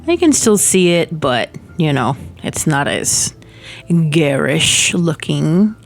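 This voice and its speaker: A woman's voice: sits low, expressive, slow. A woman with a slightly stuffy nose chatting casually while building in a life-sim game, rambling with many fillers and little laughs at herself. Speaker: Sims building channel host. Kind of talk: casual chatty gameplay commentary. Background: soft background music.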